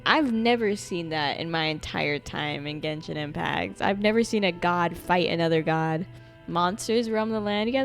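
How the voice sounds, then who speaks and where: A young woman commentating her gameplay in a loose, joking way, swearing freely and laughing often. Her pitch swings lively up and down, and faint game music runs underneath. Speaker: gaming streamer. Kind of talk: casual let's-play commentary.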